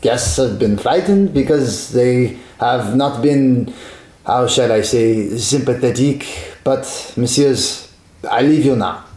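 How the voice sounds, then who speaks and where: Brief: man voicing a gracious young French vicomte, heavy accent, mellow voice, roomy echo. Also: medium pitch, slow pace, close to an everyday voice. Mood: courteous. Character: proud impoverished French nobleman, young man. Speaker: Vicomte de Verlon. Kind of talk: amateur radio drama.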